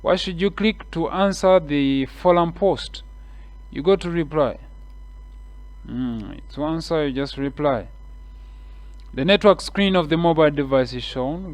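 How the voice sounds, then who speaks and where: A man with a Kenyan English accent, guiding viewers step by step through a screen-share tutorial with relaxed, repeated explanations and frequent fillers. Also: on the high side, measured pace, dry recording.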